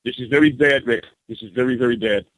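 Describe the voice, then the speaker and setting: A man's voice: medium pitch, quick pace, fairly bright tone, no echo, close to an everyday voice, low-quality recording. A man playing a blustering, flustered campaign staffer on a prank call to a radio show, pompous and stumbling over names. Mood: indignant. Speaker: prank caller.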